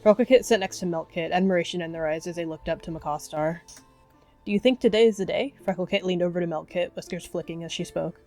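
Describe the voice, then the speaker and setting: A woman reads scripted story narration for a roleplay. Her voice sits a little low, and music plays quietly behind her. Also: steady tone, quick pace, hardly any pauses.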